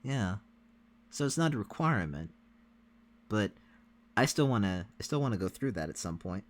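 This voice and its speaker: A man's voice: on the low side, lively intonation, normal speed. A man co-hosting a reaction livestream, chatting casually with the viewers. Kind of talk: casual chat with stream viewers.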